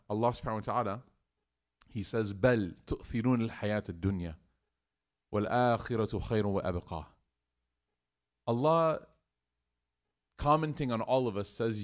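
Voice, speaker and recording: A young man giving a sermon to a live congregation in a mosque hall, conversational and joking as he acts out everyday dialogue. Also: medium pitch. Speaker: imam.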